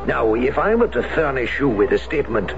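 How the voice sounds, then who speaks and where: A grave, gravelly French ex-Resistance man. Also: mid-range voice, sing-song, background noise. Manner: measured, solemn warnings. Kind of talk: 1970s radio drama acting. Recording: dull lo-fi radio broadcast with music.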